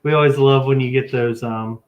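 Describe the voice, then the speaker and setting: A man's voice: mid-range voice, steady tone, normal speed. An American man with an easygoing manner, chatting casually and reading viewer comments aloud as a livestream co-host. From Ohio, United States.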